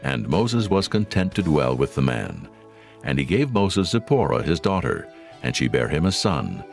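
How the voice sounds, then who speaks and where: A man narrates scripture in a solemn, scripted manner. His voice is profoundly deep and creaky, with lively rises and falls. It suits a dramatized audio Bible. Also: normal speed, soft background music.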